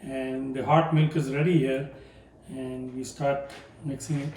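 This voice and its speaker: An older man from South India calmly demonstrating how he makes coffee, soft-spoken and hesitant, with frequent fillers. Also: medium pitch, measured pace. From Palakkad, South India. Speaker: guest, home cook. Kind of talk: casual demonstration chat. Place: home kitchen. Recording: echoey room from a distance, faint noise.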